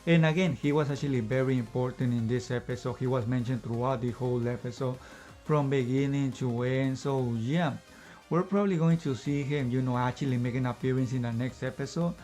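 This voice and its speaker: A man casually reviewing an anime episode in one unscripted take, slightly out of breath and rambling, filling pauses with "you know" and "I guess you can say.". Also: medium pitch, fairly even intonation, mellow, soft background music.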